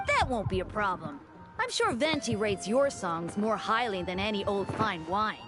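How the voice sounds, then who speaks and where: A woman voicing a courteous, gently teasing young deacon, devout and polite, in a video game over music. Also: mid-range voice, big pitch swings, normal speed, close to an everyday voice. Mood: reassuring.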